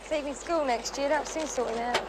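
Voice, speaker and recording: Woman acting high chatty british mum, easygoing, old safety film, noisy soundtrack. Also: brisk, natural voice. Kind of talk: acted dialogue in 1970s public information film.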